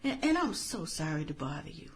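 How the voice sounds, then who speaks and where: A woman's voice: deep voice, very animated, brisk, a little hiss or hum. An actress in a satirical radio drama playing a polite, earnest female fundraiser with a rehearsed, apologetic sales manner. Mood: apologetic, coaxing.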